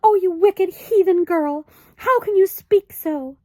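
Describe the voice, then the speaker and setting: A woman voicing a fretful, tearful, middle-aged tiny mother in a storybook, with a high, quavering, melodramatic delivery. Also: slow pace, dark tone, dry recording, clean studio sound. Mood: indignant.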